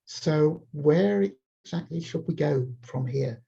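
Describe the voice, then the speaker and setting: Gravelly, husky interviewer on dull audio. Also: medium pitch, slow, warm tone. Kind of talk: interview questions.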